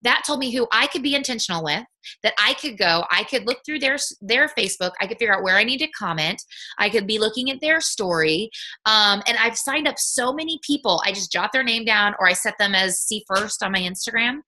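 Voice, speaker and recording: A woman talking casually on a video call as a guest, upbeat and chatty, with many quick restarts. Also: a little high, expressive, sharp tone. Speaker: guest sales ambassador. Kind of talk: casual live-stream chat.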